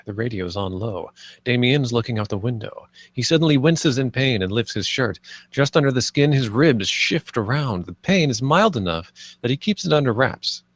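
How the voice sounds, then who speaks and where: Guy reading screenplay stage directions, medium pitch, dry recording. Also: no room sound. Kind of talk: scripted narration at a screenplay table read.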